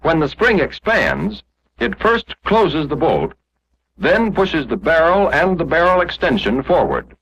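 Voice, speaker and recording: Casting: male narrator for a 1940s instructional film, animated intonation, didactic scripted read, muffled lo-fi period sound. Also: on the high side, unhurried. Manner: didactic, clearly explanatory, step by step.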